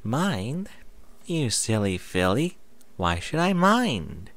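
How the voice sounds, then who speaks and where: A man voicing a merry, excitable young female pony in a read-aloud story, with playful, exclaiming delivery. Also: medium pitch, slow pace, dry recording, voice pushed up, exaggerated voice.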